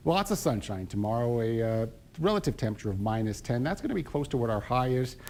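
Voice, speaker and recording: A man's voice: mid-range voice, fast talker, a little hiss or hum. A Canadian man presenting the local TV weather and news, reading with many "uh" fillers. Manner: lively delivery with frequent "uh" fillers. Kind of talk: live TV weather and news read. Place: local TV studio.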